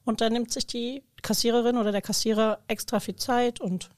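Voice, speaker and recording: A woman's voice: on the high side, steady tone, a little fast, darkish tone, no echo. Chatty woman, close dry sound. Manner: chatty, informal, colloquial. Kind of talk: casual conversational chat.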